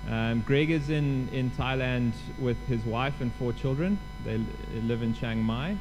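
A man's voice: mid-range voice, unhurried, noisy background. Chatty South African man at church. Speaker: church leader introducing the guest preacher. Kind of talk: casual church welcome.

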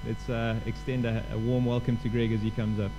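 A man's voice: mid-range voice, flat delivery, brisk, lo-fi sound, background noise. A man with a South African accent welcoming a congregation and introducing a guest preacher in a chatty, self-deprecating way. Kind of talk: casual church welcome.

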